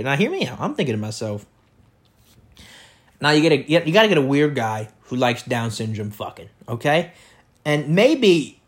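Husky, loud man riffing with swooping pitch. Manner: repetitive, riffing with catchphrases and rhetorical questions. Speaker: podcast host. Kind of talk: rambling comedic monologue. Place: indoor room beside an open window.

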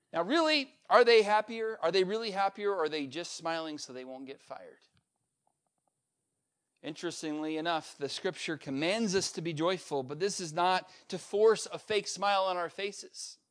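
A male pastor preaching a sermon, building up to a repeated refrain and swinging between loud peaks and quiet, low asides. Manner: emphatic preacher with swings in pitch and loudness, repetitive refrain.

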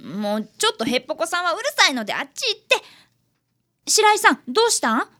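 An earnest schoolboy apprentice detective, acted by a woman, speaks in a high, bright voice. His delivery is very animated and politely sincere, in radio drama style. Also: quick pace, full character voice. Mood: earnest explaining. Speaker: narrator and cast member of a radio drama.

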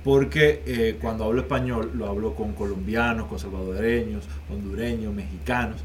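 A man co-hosting a podcast who speaks in a measured way, aiming for neutral standard Spanish. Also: lowish voice, steady tone, slight background noise. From Dominican Republic. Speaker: podcast co-host. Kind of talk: casual chat. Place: in Canada.

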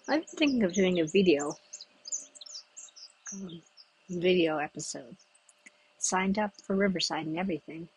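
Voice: medium pitch, slight background noise, low-quality recording. A podcast host who grew up in the United States, chatting in a meandering way outdoors in a breezy spot where people pass by. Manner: chatty, meandering, thinks aloud with pauses. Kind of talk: rambling solo podcast chat.

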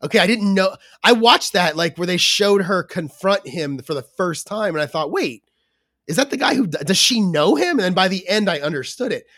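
A man's voice: high-pitched, animated, normal speed, fairly bright tone, no room sound, studio quality. A man co-hosting a podcast in casual chat, his voice scratchy from a cold, rambling with fillers and restarts. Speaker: podcast co-host.

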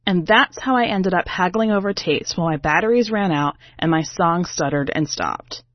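A woman's voice: lowish voice, expressive, normal speed, no echo, lightly acted. Woman reading as a brash slangy diva gal telling her tale, sardonic and emphatic, bit dull dry recording. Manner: sassy, slangy, irreverent, emphatic. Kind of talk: first-person story reading, conversational.

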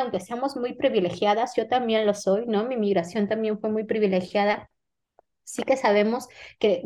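A woman's voice: medium pitch, warm tone. A middle-aged Mexican woman, an author, answering an interview in an earnest, reflective way, often restarting and adding "¿no?". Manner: earnest, many repeated restarts and "¿no?" tags. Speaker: author guest.

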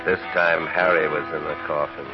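A man playing a lovesick American narrator in an old radio drama, speaking quietly and wistfully. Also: very deep voice, flat delivery, moderate pace. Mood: somber. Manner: quiet, wistful, drops to near whisper. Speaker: first-person narrator of a radio play. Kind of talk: 1940s-50s radio drama acting. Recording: dull old radio broadcast with music.

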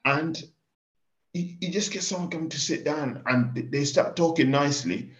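A man in his thirties, born in Kenya and speaking with an English accent, giving candid, animated stories as a guest in a casual interview. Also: fairly high pitch, normal speed. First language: Swahili. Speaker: farmer guest. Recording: dull lo-fi podcast recording.

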